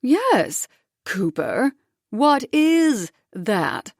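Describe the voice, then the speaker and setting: A woman's voice: fairly high pitch, big pitch swings, slow pace, gravelly, a little mellow, dry recording, clean studio sound, pitched above the performer's own voice, exaggerated voice. A woman voicing a cold, condescending ex-wife with a clipped, icy way of speaking, in an audiobook.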